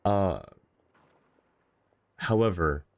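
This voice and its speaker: A man's voice: deep voice, very slow, darkish tone, no echo. A man doing casual, chatty livestream commentary on a game, reacting and replying to his chat. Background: faint game music.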